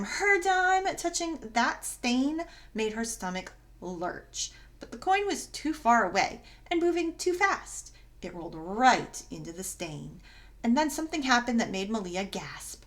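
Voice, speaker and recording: A woman reading a children's story aloud as narrator, in an expressive, dramatic and emphatic way. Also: fairly high pitch. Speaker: story reader.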